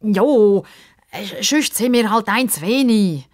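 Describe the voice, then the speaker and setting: A woman's voice: medium pitch, animated, takes it slowly, pitched above the performer's own voice. A woman voicing an awkward, sheepish chubby schoolboy who blurts and stammers in a children's audio story.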